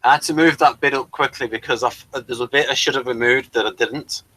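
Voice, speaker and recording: A man's voice: medium pitch, steady tone, fast, bright, forceful tone, dry recording, low-quality recording. A man, hosting a casual review chat. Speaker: host of a TV review show.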